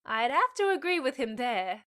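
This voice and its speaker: A woman's voice: high voice, very animated, moderate pace, fairly bright tone. An actress playing a frank, savvy young half-fae woman, teasing yet supportive, with a wry conversational manner in an audio drama. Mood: wry, bargaining.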